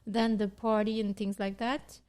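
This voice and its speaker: A woman's voice: medium pitch, little pitch movement, moderate pace, darkish tone, clean tone. A woman from Morocco co-hosting a casual podcast chat, laughing often and restarting her sentences. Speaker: podcast co-host.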